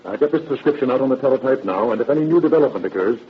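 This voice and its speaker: A courteous detective captain in a vintage radio drama. He fires off questions quickly in a clipped, matter-of-fact way at a middling pitch. The old recording is dull. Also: lively intonation, breathy edge. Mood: businesslike. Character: brisk, businesslike, courteous male police detective captain.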